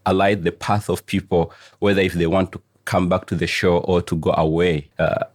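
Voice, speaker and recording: A middle-aged man from Tanzania, a coach answering interview questions slowly, pausing often as he searches for words. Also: sits low, flat delivery, no room sound, studio quality. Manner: slow, searching phrasing with frequent "uh" pauses. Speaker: guest coach. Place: studio.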